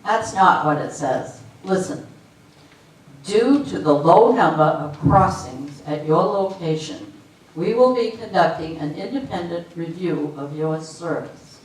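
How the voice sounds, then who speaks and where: A woman playing a reasonable, level-headed secretary with a calm, matter-of-fact delivery on stage. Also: on the low side, a little slow. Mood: calm, skeptical. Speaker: amateur stage actor. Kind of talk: readers theater stage performance. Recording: echoey hall, distant mic, faint noise.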